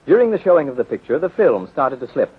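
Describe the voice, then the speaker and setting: A man's voice: a little high, very animated, quick pace, breathy edge. A man announcing and narrating a 1930s radio mystery serial in a formal, dramatic scripted style. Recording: muffled, tinny 1930s radio recording.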